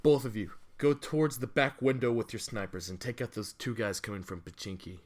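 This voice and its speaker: A man's voice: medium pitch, brisk, lightly acted. A man playing a hardened veteran survivor and father in an audio drama, terse and authoritative. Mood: terse, commanding. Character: Jack, hardened veteran survivor and father, commanding, self-sacrificing. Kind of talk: amateur audio fiction acting.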